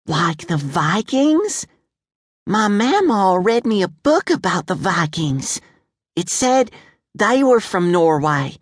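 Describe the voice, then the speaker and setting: A man's voice: high voice, very animated, slow, dry recording, studio quality, voice pushed up, exaggerated voice. A man doing a chatty bold five-year-old girl. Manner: blunt, curious, bubbly.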